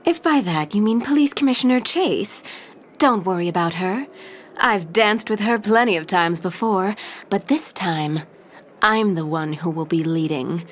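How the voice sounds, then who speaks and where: A woman playing a cunning, seductive crime crew leader in an audio drama, with a smooth, confident, persuasive manner. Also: medium pitch, measured pace, a little hiss or hum, natural voice. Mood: assured, teasing. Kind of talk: scripted audio drama acting.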